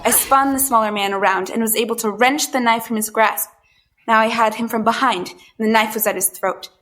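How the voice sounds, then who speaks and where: An actress playing a hunted woman who tells her story as tense, deliberate first-person audio drama narration. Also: fairly high pitch, fairly even intonation, a bit bright, natural voice.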